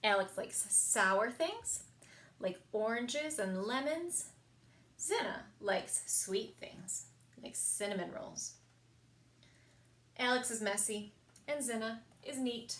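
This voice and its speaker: A woman reading picture books aloud for a children's story time, expressive and sing-song, with emphasized words. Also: a little high, takes it slowly, long pauses. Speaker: library story time reader.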